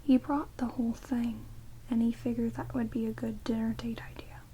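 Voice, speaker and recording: A woman playing a sardonic, playful vampire caretaker in a soft, breathy ASMR roleplay whisper. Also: fairly high pitch, moderate pace, lightly acted, rough recording. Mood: gossipy, bored. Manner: teasing, dry, casually chatty. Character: sardonic immortal female vampire, rude yet playful caretaker. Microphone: close binaural microphones. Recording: steady rain noise on binaural recording. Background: heavy rain.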